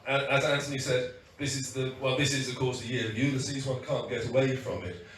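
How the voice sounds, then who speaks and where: A man with a hoarse, croaky voice gives an informal, halting launch speech with wry asides. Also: mid-range voice, steady tone, fairly bright tone, slight background noise. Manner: halting, many restarts and fillers, wry asides. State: hoarse, losing his voice. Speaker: guest speaker at a book launch. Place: event hall with audience. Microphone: PA microphone, heard from a distance. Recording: echoey hall recorded from far off.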